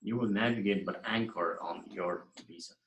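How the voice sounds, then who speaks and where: A man from Nepal delivers a recorded lecture over his slides in a fairly low voice. He talks in an earnest, emphatic way, often restarting and repeating phrases. The room around him is echoey. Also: normal speed, fairly bright tone, dull audio. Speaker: university lecturer.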